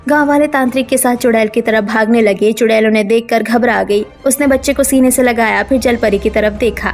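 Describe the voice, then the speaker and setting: A woman's voice: on the high side, fairly quick, soft background music. A woman narrating a scripted cartoon story as its storyteller.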